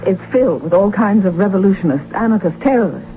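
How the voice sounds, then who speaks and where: A woman's voice: medium pitch, expressive, quick pace, natural voice. An actress in a radio drama playing a prince's devoted, anxious, strong-willed wife, emphatic and theatrical in her delivery. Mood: alarmed. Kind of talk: 1970s radio drama acting. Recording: tinny, noisy old radio broadcast recording.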